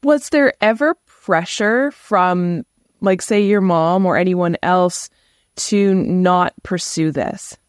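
A woman's voice: fairly low pitch, slow. A woman hosting a podcast from her home studio, effusive and chatty as she introduces her guest. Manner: bubbly, effusive. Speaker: podcast host. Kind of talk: casual podcast interview.

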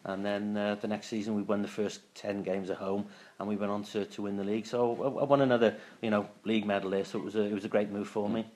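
A man in his late fifties from Wales, a former footballer, talking through his career in a casual interview, often pausing and restarting his sentences. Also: on the low side, level tone, darkish tone. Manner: halting, many restarts and fillers.

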